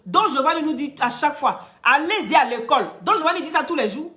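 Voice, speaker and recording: A woman's voice: sits high, lively intonation, brisk. A woman with an Ivorian French accent, French not her first language, giving a blunt, emphatic monologue to camera. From Côte d'Ivoire. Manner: blunt, emphatic, street slang, rises to loud outbursts. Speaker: online commentator.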